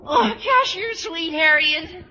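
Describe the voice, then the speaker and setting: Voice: extremely high pitch, animated, takes it slowly, pushed and bright, heavily acted. An actor playing a gawky, tactless, lovestruck teenage schoolboy with an American accent in an old-time radio sitcom. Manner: gushing, guileless, tactless. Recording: muffled vintage radio recording, faint noise.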